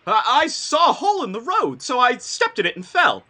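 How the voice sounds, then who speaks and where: Woman acting living scarecrow, naive, halting, wildly varied pitch, sharp bright tone, fantasy table read. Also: wildly swooping pitch, heavily acted. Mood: sheepish.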